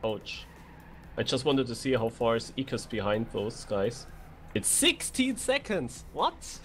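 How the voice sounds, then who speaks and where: A man's voice: mid-range voice, huge pitch swings, a little slow, fairly bright tone, soft background music. A man giving conversational live commentary on a racing game as a co-caster. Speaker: esports co-commentator.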